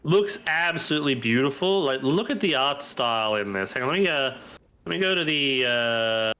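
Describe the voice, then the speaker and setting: A man's voice: medium pitch, animated, moderate pace, pushed and bright, clean tone. A man with a stuffy nose from hay fever, chatting casually on a live stream and thinking aloud as he browses. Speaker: stream co-host.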